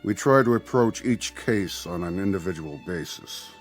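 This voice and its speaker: An actor playing Death as a polite, exacting bureaucrat in a radio drama, speaking in a formal, measured way. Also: male voice, low-pitched, expressive, faint music behind. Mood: formal, apologetic.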